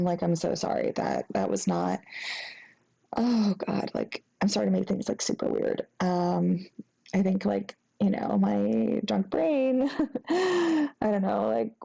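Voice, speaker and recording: A young woman playing a sheepish, apologetic ex-girlfriend in an intimate audio roleplay, talking haltingly and rambling with embarrassment in a soft voice. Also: mid-range voice, big pitch swings, vocal fry, highs cut off, full character voice. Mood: flustered, apologetic. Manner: halting, rambling, embarrassed, breaking into nervous giggles. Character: sheepish, flustered, apologetic young ex-girlfriend after a drunken night. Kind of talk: intimate acted phone-call roleplay.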